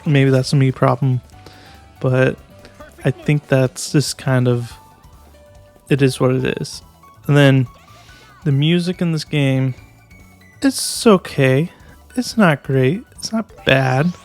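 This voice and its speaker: A man's voice: mid-range voice, slow, long pauses, darkish tone, faint music behind. A young man giving a casual video game review, hesitant and restarting his sentences often. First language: English.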